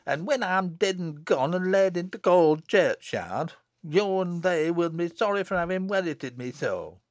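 A high-pitched, peevish little girl from a storybook, acted out by a man, with a Lancashire accent. The delivery swoops about and bites, sharp, taunting and full of threat, on a close, dry recording. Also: wildly swooping pitch, a little slow, slightly rough, no echo, heavily acted. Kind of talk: audiobook character acting.

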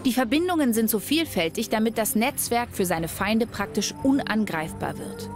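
A woman's voice: fairly high pitch, expressive, moderate pace, over music. A woman reading scripted narration for a documentary.

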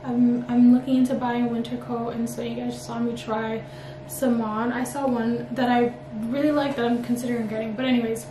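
High-voiced young woman vlogger, echoey and distant. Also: flat delivery, a little fast. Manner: gushing, filler-heavy "like" speech. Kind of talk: casual chat to viewers. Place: indoors at home, echoey room. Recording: echoey room, far from mic, noisy.

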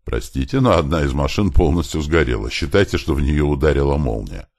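A man's voice: a bass voice, lively intonation, a little fast, gravelly, darkish tone, dry recording, studio quality, full character voice. A man voicing an earnest male professor in an audiobook, explaining things politely and with emphasis. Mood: apologetic reporting.

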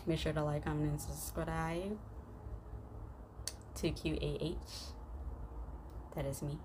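A young woman host giving casual, slangy, halting reaction commentary that often repeats itself. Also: deep voice, level tone. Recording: noisy room recording.

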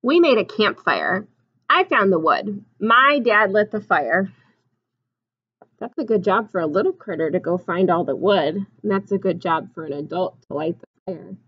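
A woman in her twenties reading a picture book aloud like a teacher, expressively, pausing to put simple questions and explanations to young children. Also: mid-range voice, animated, slightly rough, lo-fi sound. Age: 20s. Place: small classroom, indoors.

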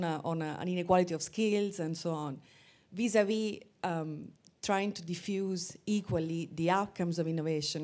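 A woman asking a long, halting question from the audience at a conference. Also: lowish voice, a little mellow. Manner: searching, halting phrasing.

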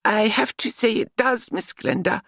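A man voicing a gentle, earnest young male misfit in an audiobook, precise, formal and apologetic. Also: high voice, moderate pace, gravelly, dry recording, clean studio sound, pitched above the performer's own voice, full character voice. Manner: precise, formal, overly polite.

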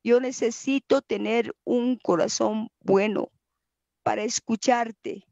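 A woman prays aloud at a slow, unhurried pace, earnest and pleading, often letting the ends of her phrases fade to a near murmur. Her voice sits in a middle range and sounds dry and close. The recording is dull and lo-fi. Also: a little high, steady tone, creaky, warm tone, no room sound. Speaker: person praying. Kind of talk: spontaneous devotional prayer.

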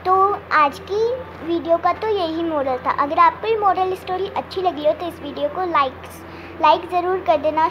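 A young girl hosts a children's story channel in a very high voice. She addresses her viewers directly into a phone, with some noise on the recording. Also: fairly bright tone, low-quality recording. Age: child, under twelve. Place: small home room indoors. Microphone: phone at arm's length.

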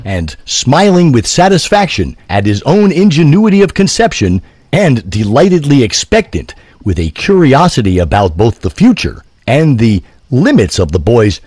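A man's voice: medium pitch, sing-song, normal speed, darkish tone, breathy edge, dry recording. A man narrating a scripted audio drama as a theatrical, wordy storyteller.

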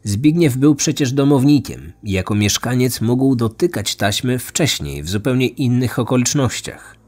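A man narrating a scripted true crime story as a podcast host. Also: mid-range voice.

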